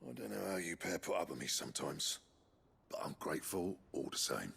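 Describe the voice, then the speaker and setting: An actor playing a proud, stubborn older master blacksmith in a video game, gruff and raspy. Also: male voice, deep voice, expressive, brisk, fairly bright tone, exaggerated voice. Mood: smug, pleased. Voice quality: raspy, gravelly. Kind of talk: dramatic video game dialogue.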